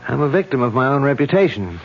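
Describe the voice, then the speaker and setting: Confident detective husband, muffled vintage radio. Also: male voice, medium pitch, sing-song, fast talker. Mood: businesslike, wry. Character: confident, affectionate police detective husband, wry. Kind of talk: 1970s radio drama acting. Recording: dull vintage radio broadcast.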